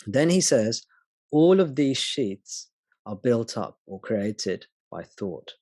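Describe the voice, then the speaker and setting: A man's voice: mid-range voice, sing-song, takes it slowly, dark tone, slightly rough, dry recording. A man leading a satsang, explaining spiritual teachings patiently and thinking aloud as he goes.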